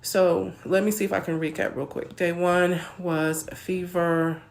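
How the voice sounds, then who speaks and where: A woman with a naturally deep voice, hoarse while ill, telling a casual, rambling personal story and correcting herself as she goes. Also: flat delivery, a little slow. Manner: rambling, self-correcting, conversational. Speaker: vlogger.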